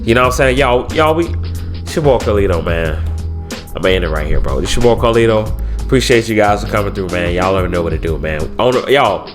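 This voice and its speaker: Congested man, low voice, casual slang. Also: expressive, fast talker, faint music behind. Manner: loose slangy talk, many fillers and repeats. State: congested from a head cold. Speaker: YouTuber. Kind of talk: casual vlog talk to camera.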